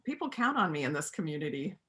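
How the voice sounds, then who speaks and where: Woman playing a bright-voiced, principled country vet. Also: low-pitched, very animated, fast talker. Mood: reflective. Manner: earnest, persuasive, plain-spoken. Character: principled widowed country vet, gentle but firm pacifist. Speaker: amateur church play performer. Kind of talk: reader's theater performance.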